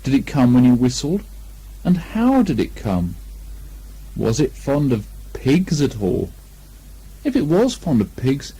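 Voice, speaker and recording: Male children's story narrator: mellow, slightly husky, expressive, very varied intonation. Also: medium pitch. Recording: lo-fi 1980 amateur recording.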